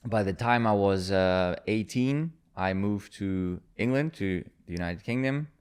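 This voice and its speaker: Man, very low voice, smooth and resonant, relaxed guest storytelling, lots of um and uh. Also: measured pace. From Germany. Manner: relaxed storyteller, many fillers, laughs easily. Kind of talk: casual interview chat.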